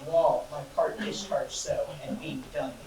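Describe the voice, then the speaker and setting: A solemn talking wall, put on by a woman in a comic stage play. The voice drops extremely low and trails off into a mumble. It is heard from afar in an echoey room, with noise clearly behind. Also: fairly even intonation, measured pace, far from the mic. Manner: deep, fading, mumbled.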